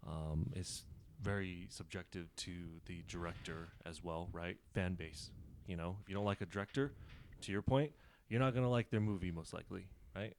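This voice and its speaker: A young man co-hosting a podcast, pushing back quickly and repeating himself as he quizzes the others. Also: sits low, creaky, a little mellow, slight background noise. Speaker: podcast co-host. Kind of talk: casual chat.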